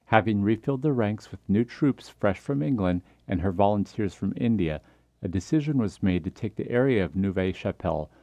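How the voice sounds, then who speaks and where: A man's voice: lowish voice, warm tone, no echo, highs cut off. A man reading a scripted history documentary narration as the narrator.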